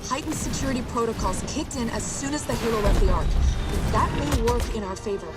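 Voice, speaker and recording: A woman acting as a composed, capable scientist briefing soldiers in a sci-fi war game. Her voice sits a little high, and loud music plays behind her. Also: fairly quick, lightly acted. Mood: focused briefing. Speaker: scientist character, called Professor. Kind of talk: scripted military sci-fi game dialogue. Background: loud music, vehicle rumble.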